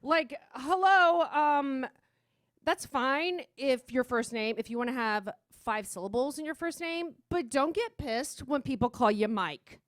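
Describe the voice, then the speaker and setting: A woman's voice: high voice, no room sound, studio quality. A woman playing a gossipy Renaissance-era lady doing a sarcastic, conversational stand-up routine peppered with "like". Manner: conversational valley-girl sarcasm, full of "like".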